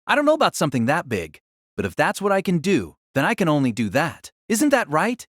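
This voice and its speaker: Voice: medium pitch, huge pitch swings, a little fast, no echo, studio quality. A narrator voicing a modest teenage boy swordsman who speaks hesitantly and runs himself down. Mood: earnest.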